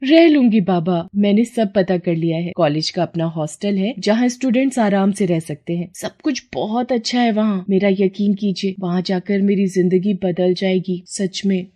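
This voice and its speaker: A woman's voice: mid-range voice, moderate pace, hardly any pauses, dark tone, close to an everyday voice. Woman voicing a scornful, ambitious young elder sister. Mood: pleading, eager. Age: young adult. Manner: dismissive, bossy, slangy. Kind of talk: Hindi cartoon moral story acting.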